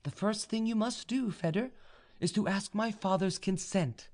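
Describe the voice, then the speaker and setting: Man voicing a high-pitched, haughty young woman. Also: normal speed, a little mellow. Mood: proud, composed. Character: proud, haughty young woman, coolly commanding in love. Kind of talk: audiobook character dialogue.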